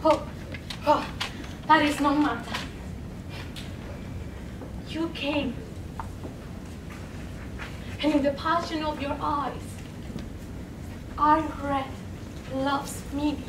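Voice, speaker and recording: A young woman performing a dramatic poetry reading, slow and pleading with sudden loud swells, in a large room. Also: high voice, fairly even intonation, distant mic. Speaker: contest reader. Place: large college event room. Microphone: far camera, standing away from mic. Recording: echoey room recorded from afar, noisy.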